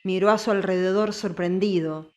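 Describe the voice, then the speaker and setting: A woman's voice: medium pitch, fairly even intonation, moderate pace. A woman reading scripted story narration as an audiobook narrator.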